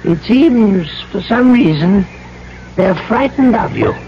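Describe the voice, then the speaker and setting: An actor playing a duplicitous colonial trader in an old radio drama, clubby and falsely apologetic in a deliberate manner. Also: male voice, sits high, expressive, full character voice. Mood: falsely regretful. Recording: muffled old radio broadcast, faint noise.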